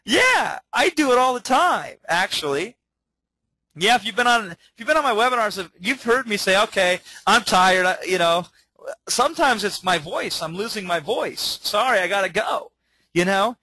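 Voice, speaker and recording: A man teaching a webinar in an energetic way, often checking in with okay and all right. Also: high voice, moderate pace, dry recording, low-quality recording. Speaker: webinar trainer.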